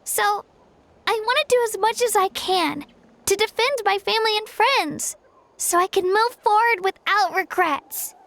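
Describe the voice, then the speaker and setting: A woman voicing an earnest, caring young child villager in video game dialogue, soft-hearted and grateful. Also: very high voice, unhurried, full character voice. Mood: reflective, resolute. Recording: background game music.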